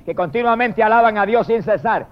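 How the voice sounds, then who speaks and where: A male preacher with a high-pitched voice delivers a fervent evangelical sermon. He is emphatic and declamatory, stretching exalted phrases and speaking straight to his listeners. The recording is dull and lo-fi. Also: expressive, fairly quick.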